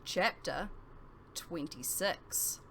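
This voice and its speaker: A woman reading a first-person novel aloud as scripted narration, with emphatic phrasing and dramatic pauses. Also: fairly low pitch, lively intonation, a bit bright, slight background noise.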